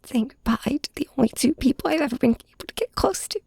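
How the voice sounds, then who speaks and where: A woman playing a heartbroken young superhero in an intimate ASMR audio drama, confiding in a villain with halting, raw emotion in a breathy, whispery voice. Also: high voice, animated, a little mellow, no room sound, clean studio sound, exaggerated voice. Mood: anguished, tearful. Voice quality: breathy, often dropping to a whisper. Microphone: close microphone, dry.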